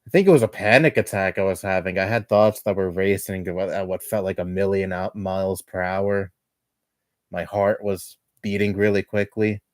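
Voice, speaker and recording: A man in his twenties chatting casually on a livestream at home, rambling and laughing softly between thoughts. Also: low-pitched, fairly even intonation, normal speed, dry recording, clean studio sound. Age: 20s. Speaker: livestreamer reading chat. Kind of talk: casual chat.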